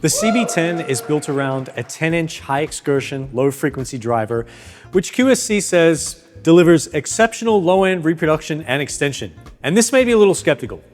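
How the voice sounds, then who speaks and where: A man's voice: a little high, lively intonation, fairly quick, faint music behind. Upbeat man reviewing audio gear. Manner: upbeat, clear explanatory delivery. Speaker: product reviewer.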